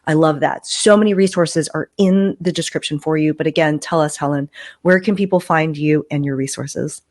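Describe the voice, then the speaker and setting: A woman with a fairly low voice hosts a podcast in a casual, conversational way. She talks with emphasis and sprinkles in informal filler as she makes her points. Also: moderate pace, darkish tone. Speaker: podcast host.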